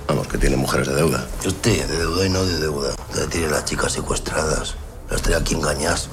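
A menacing, rough-edged pimp in a TV drama, talking in a Castilla y León accent with a gritty, flat-out bluntness. His voice sits extremely low, and a clear hum of background noise runs under the scene clip. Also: fairly quick. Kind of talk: TV drama acting.

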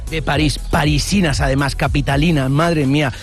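A man from the Canary Islands interviewing people on the street for radio, chatty and joking with quick exclamations. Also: on the high side, fairly even intonation, soft background music. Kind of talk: street vox-pop interview. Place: street outdoors. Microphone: handheld reporter mic.

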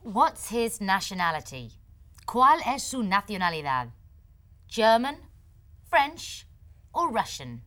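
Female co-host, mid-pitched, animated intonation, playful and teasing. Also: slow pace, pauses often. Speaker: language teacher co-host. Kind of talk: bilingual English lesson presenting.

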